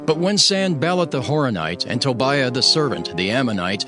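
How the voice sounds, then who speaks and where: A man's voice: medium pitch, very animated, normal speed. A man giving a dramatic scripted narration of scripture, solemn and declamatory. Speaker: Bible narrator, voicing Nehemiah. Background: music.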